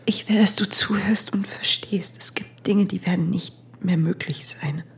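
An actress playing a shaken, wounded wife in a radio drama, whispering breathily, weary but resolute. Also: female voice, mid-range voice, steady tone, normal speed, creaky, natural voice. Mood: trembling, resolute. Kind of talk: German radio drama acting.

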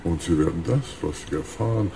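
A man's voice: low voice, animated, a little fast, creaky, mellow, slight background noise. An actor playing an ancient, gleefully cruel billionaire villain in a radio crime drama, smugly savoring his words. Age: very old.

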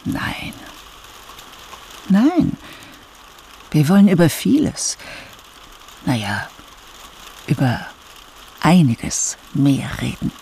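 Whimsical male conversation partner, unhurried, expressive intonation, radio play. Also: a little high, gravelly, a little hiss or hum, heavily acted. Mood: pensive.

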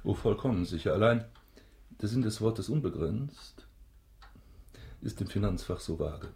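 An actor playing a self-important banker baron, formal and stiffly deferential, in a German radio drama. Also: male voice, fairly low pitch, animated, measured pace, a little mellow, echoey room. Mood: stiff, wary.

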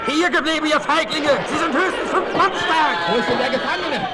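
Frantic Western gang member: very high yelling, cursing, loud crowd and battle din behind. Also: male voice, brisk, very noisy. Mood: frantic, angry. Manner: shouting, high-strung. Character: panicky, cursing Western bandit henchman, adult male. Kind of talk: 1960s German audio drama acting.

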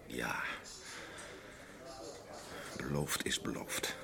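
An actor playing a weary, curt husband in a radio play, gruff and evasive, yet placating in a hesitant way. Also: male voice, extremely slow, crowd noise. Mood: resigned. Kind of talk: Dutch radio play acting.

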